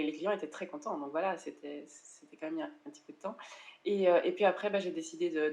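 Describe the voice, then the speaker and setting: A woman's voice: lowish voice, darkish tone. A woman from France in her thirties, a guest in a conversational interview, talking casually and often hesitating and restarting. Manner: chatty, frequent hesitations and restarts. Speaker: interview guest, online seller coach.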